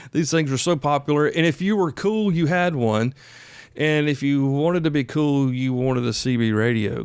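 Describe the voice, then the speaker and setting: A man's voice: medium pitch, clear, resonant tone, no room sound. A man hosting a podcast, explaining things in a conversational, folksy way as if chatting with the guys listening. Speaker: podcast host. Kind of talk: casual instructional podcast monologue.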